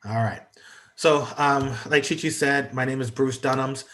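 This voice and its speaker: A man's voice: medium pitch, fairly even intonation, measured pace, a bit bright. A congested man gives an online presentation as a guest speaker, talking hesitantly with many fillers. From United States. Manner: hesitant, lots of ums and uhs. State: congested from allergies. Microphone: video call.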